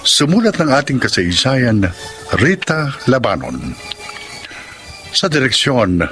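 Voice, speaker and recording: A man announcing the moral, credits and the next story of a radio drama in a formal, measured way. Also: mid-range voice, sing-song, a bit bright. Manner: slow, measured, formal delivery. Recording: music under the voice.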